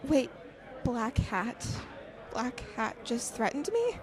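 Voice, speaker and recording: A woman acting a shaken, nervous young woman in a naturalistic audio drama, halting and stammering. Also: on the high side, sing-song. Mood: frightened.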